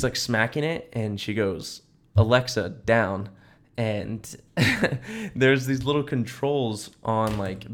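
A young man with a slightly low voice co-hosts a podcast. He tells stories casually and slangily, acting out the people in them in an animated way. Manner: animated slangy storyteller, acts out quotes. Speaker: podcast co-host. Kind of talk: casual chat, storytelling.

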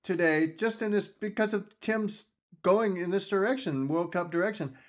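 A man's voice: high voice, moderate pace. A man co-hosting a podcast in casual chat, joking and rambling, often laughing between lines. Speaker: podcast co-host.

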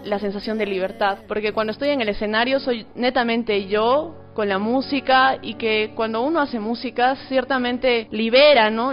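A woman's voice: fairly high pitch, muffled recording. A woman with a Peruvian accent speaking as an interview guest, a singer-songwriter talking casually. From Peru.

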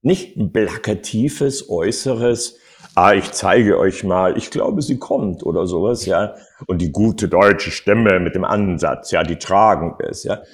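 An older man telling anecdotes as an interview guest, in an animated storytelling manner with frequent little tag words. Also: low voice, a little slow. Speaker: acting coach and interview guest.

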